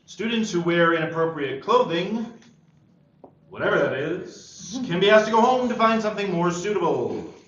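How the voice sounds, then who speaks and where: A man playing a sardonic, reluctant high school senior at a screenplay table read. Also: sing-song, normal speed, a bit bright. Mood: dry, announcing. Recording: echoey room, muffled, faint noise.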